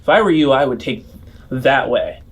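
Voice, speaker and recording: A man's voice: mid-range voice, lively intonation, moderate pace, slightly rough. A man voicing a cocky, grandiose fourteen-year-old boy thief in a tabletop game, showing off as he talks. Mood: boastful. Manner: boastful, showing off.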